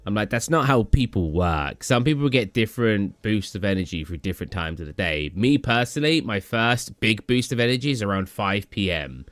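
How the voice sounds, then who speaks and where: A man's voice: lowish voice, lively intonation, fairly quick, few pauses, fairly bright tone, dry recording. A young man from England, chatting casually on a live stream and answering chat questions in a tired, rambling way. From southern England. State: tired, low energy.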